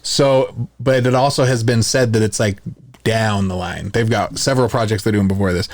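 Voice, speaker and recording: A man's voice: lowish voice, fairly even intonation, moderate pace. A man co-hosting a podcast, chatting casually and enthusiastically in informal, slangy speech. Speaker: podcast co-host. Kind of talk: casual podcast banter about movies and games.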